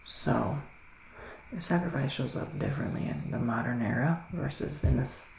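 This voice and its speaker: A man playing a soothing, earnest male witch psychic in an ASMR roleplay, speaking softly and haltingly, often slipping into breathy whispers. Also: mid-range voice, animated. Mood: hushed, soothing. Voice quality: breathy soft voice drifting into whispers. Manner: hushed, halting, intimate address to listener. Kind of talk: ASMR roleplay, improvised tarot reading. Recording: roomy echo, faint background noise.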